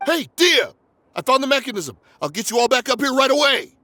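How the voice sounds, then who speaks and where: A man's voice: very high-pitched, sing-song, brisk, bright, forceful tone, dry recording, heavily acted. An actor playing an earnest, eager young male companion in a video game. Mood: excited.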